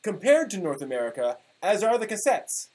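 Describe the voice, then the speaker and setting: A man in his forties giving a mock-dramatic, emphatic reading of an internet post, halting here and there over the text. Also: high-pitched, very animated, darkish tone. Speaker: performer reading old forum posts. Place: small room at home, indoors.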